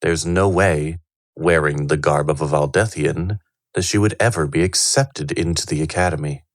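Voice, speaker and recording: Very deep, solemn fantasy narrator. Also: male voice, no room sound. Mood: grave. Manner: measured, solemn, portentous. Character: solemn male storyteller of a fantasy tale. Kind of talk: dramatic scripted fantasy narration. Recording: prominent music under the voice.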